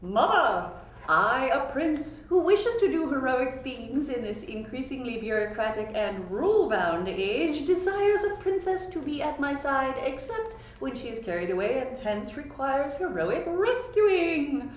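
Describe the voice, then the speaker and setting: A woman's voice: high-pitched, big pitch swings, unhurried. A woman voicing an earnest, pompous fairy-tale prince who declaims formally. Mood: earnest, declaring. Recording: echoey room, distant microphone, noisy.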